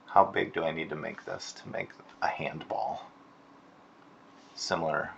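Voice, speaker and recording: Male instructor, deep voice, informal and encouraging critique delivery, tentative phrasing, muffled lo-fi sound. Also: unhurried, gravelly, slight background noise. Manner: encouraging, tentative, softening suggestions. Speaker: animation instructor giving a critique. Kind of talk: casual spoken critique of student work. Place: screen-recorded voice-over.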